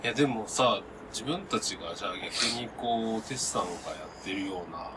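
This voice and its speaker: A man co-hosting a podcast in casual chat with friends, speaking in an animated, self-deprecating way. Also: lowish voice, fairly quick, background noise. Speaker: podcast co-host.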